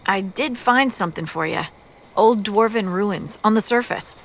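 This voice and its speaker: A woman acts a brisk scout in a fantasy game. Her medium-pitched voice is matter-of-fact and informal, with a dry edge. Faint background noise sits under it. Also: fairly bright tone, close to an everyday voice. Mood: businesslike. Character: brisk, practical female army scout. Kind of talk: video game character acting.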